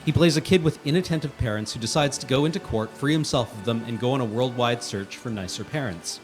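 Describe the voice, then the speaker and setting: A man's voice: medium pitch, fast, soft background music. A man giving an emphatic, exasperated dramatic reading of a written review. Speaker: guest reader on a charity livestream.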